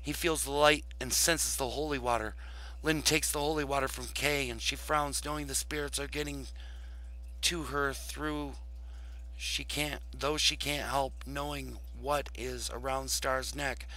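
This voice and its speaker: Bright-voiced man reading roleplay aloud. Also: medium pitch, fairly even intonation, poor audio. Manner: halting, stumbling read-aloud delivery. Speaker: roleplayer and video host. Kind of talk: narrating a text roleplay aloud, casual asides. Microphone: voice chat over computer mic.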